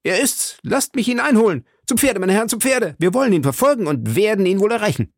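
A man voicing a bold, impetuous young gentleman musketeer in an audiobook. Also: a little high, very animated, normal speed, creaky, no room sound, studio quality, voice pushed up, heavily acted. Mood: furious, urgent. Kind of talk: audiobook character voice.

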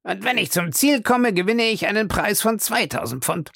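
A reader voicing a scheming male police detective who speaks in a low, confiding, persuasive way. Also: lively intonation, moderate pace, vocal fry, fairly bright tone, no echo, clean studio sound.

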